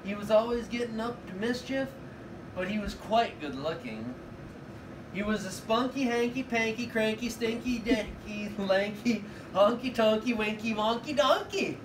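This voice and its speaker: A man reads a children's picture book aloud from across an echoey room. His delivery is playful and exaggerated, rolling along in a sing-song rhythm, with clear noise around him. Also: high voice, a little slow, recorded from a distance. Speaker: father reading aloud to children. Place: small home living room with children on the floor. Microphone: far camera across the room.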